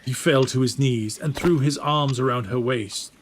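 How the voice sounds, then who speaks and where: A man's voice: mid-range voice, moderate pace, a crowd in the background, rough recording. A man giving dramatic, scripted story narration.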